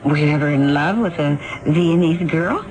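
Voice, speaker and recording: An actress playing an inquisitive, teasing pianist who prods with playful questions in a 1970s radio drama. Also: female voice, low voice, natural voice, low-quality recording. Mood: prodding. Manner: playful, quick with prodding questions. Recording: vintage radio drama with music behind.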